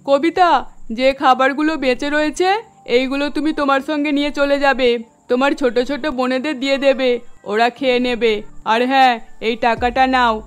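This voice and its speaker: A woman acts out a cartoon lady of the house with a high voice. She is kindly but firm, giving brisk, matter-of-fact instructions over background music. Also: fairly even intonation, clear, resonant tone, lightly acted. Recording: music under the voice.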